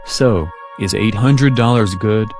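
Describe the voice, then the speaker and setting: A man's voice: lowish voice, slow. A man hosting a prank call video, narrating and talking on the phone. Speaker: prank caller and channel host. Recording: loud music over the voice. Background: loud music.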